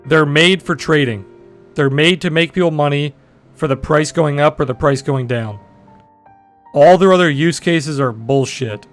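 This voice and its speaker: A young man in his twenties with a deep voice, chatting bluntly and profanely with viewers on a trading livestream. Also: a little slow, soft background music. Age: 20s. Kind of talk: casual livestream chat answering viewers.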